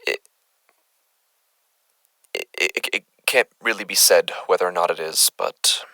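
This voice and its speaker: A man playing an unsettled doctor who records his findings haltingly, as a horror podcast monologue. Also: low voice, pushed and bright, no room sound, heavily acted. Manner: halting, hesitant, stammering. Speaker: lead character of a horror audio drama.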